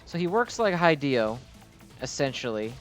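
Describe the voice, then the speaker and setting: A man's voice: medium pitch, sing-song, normal speed. Rambling guy gaming over music. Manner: rambling, easily distracted delivery. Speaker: gaming video host. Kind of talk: casual gameplay commentary. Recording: game music behind the voice. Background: game music.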